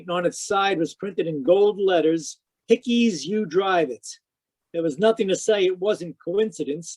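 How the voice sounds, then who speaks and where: A man's voice: sits high, a little mellow. An actor playing a wry, inquisitive male private detective in a radio drama, telling his story in hard-boiled style. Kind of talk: radio detective drama, first-person narration and dialogue. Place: live remote performance over video call.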